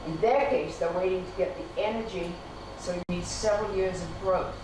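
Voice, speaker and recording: A woman's voice: fairly low pitch, brisk. A woman speaker answering audience questions at a lecture, explaining her thinking in a patient way. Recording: echoey hall from far off, noisy.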